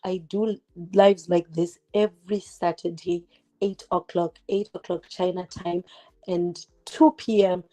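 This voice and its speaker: Chatty young Zimbabwean woman, mellow voice. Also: lowish voice, fairly even intonation, measured pace. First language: not English. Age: 20s. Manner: chatty, direct, rhetorical questions, many "like" fillers; multitasking, cooking while talking. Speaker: YouTuber hosting a livestream. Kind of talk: casual livestream chat. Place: home kitchen. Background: fireworks outside.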